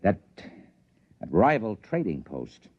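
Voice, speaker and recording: Wry, lively low-voiced American schemer. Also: male voice, slow, breathy edge, dry recording, exaggerated voice. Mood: scheming, wry. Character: shrewd, wry trading-post man, confidently scheming. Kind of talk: 1950s radio drama acting. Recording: dull vintage radio recording.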